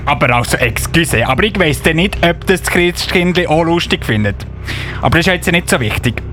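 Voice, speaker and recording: A man acts out an eager little Christmas elf policeman for a children's audio play. The voice sits very high and leaps about in pitch, with a Swiss German accent. He talks haltingly and excitably, over faint music. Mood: chatty, earnest. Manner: halting, excitable, often interjecting excuses.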